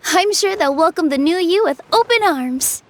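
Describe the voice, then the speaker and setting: A woman voicing a sweet, encouraging young girl in an anime video game, speaking gently. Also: very high-pitched, animated, normal speed.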